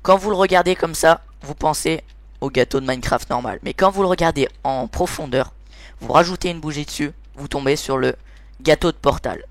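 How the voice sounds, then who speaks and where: A man's voice: a little high, fairly quick. A man giving an informal explainer commentary over gameplay as the host of a gaming series, hesitant and often searching for his words.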